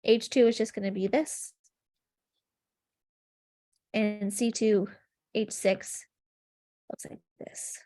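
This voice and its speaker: A woman's voice: mid-range voice, fairly even intonation, slow pace, long pauses, mellow. A young woman leading a chemistry review session over a video call, sniffly and congested, explaining in a friendly way with lots of ums. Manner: friendly, filler-heavy explaining with frequent ums.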